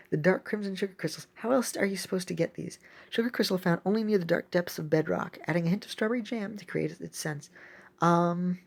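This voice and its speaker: A woman giving casual, excitable gameplay commentary as a video host, thinking aloud as she plays. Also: medium pitch, vocal fry. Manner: excitable, exclaiming often; thinks aloud while playing.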